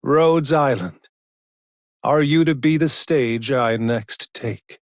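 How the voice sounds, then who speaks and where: A man's voice: mid-range voice, takes it slowly, no echo, studio quality, lightly acted. A man voicing a brooding stage performer in a game, poetic and grandiose in delivery. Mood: solemn, theatrical. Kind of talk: dramatic game character acting.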